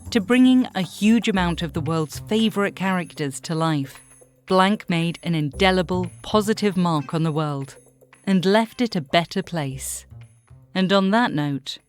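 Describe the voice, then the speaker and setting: A woman's voice: fairly low pitch, measured pace, faint music behind. A woman narrating a scripted documentary as the host.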